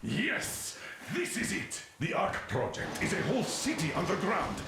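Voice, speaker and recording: Brief: gruff game colonel, commanding, lively pitch, echoey clanking train, noise behind. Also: male voice, a little slow, exaggerated voice. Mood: elated. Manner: stern and commanding, turning elated and hopeful. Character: gruff middle-aged male military colonel, stern leader. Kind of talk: video game cutscene acting.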